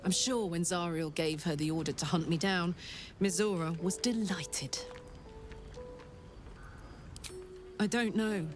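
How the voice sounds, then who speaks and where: An actress voicing a chatty, earnest soldier with an infernal engine for a heart in a video game, with a British accent and blunt, expressive delivery. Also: female voice, fairly low pitch, normal speed, lightly acted. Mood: wry, bitter. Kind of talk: video game character acting. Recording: game audio with music behind. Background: music.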